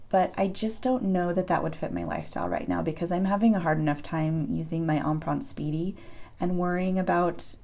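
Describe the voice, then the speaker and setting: Hoarse, low-voiced woman chatting casually. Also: a little mellow. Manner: chatty, many ums, open about personal experiences. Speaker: vlogger answering viewer questions. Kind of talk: casual chat to camera.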